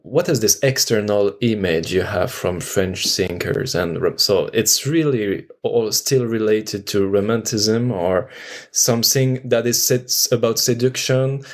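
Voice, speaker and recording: Lowish-voiced man, tentative and curious. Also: measured pace. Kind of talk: casual interview conversation.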